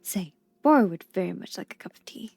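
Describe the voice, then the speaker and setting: A woman voicing a kindly male tea shop owner who speaks with formal, quaint, gently polite phrasing. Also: medium pitch, animated, fairly quick, gravelly, soft background music, pitched below the performer's own voice. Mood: gentle, welcoming.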